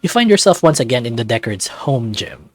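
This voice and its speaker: A man's voice: medium pitch, very animated, a little fast, no echo, faint music behind. A man hosting a casual playthrough, reading a visual novel's narration aloud.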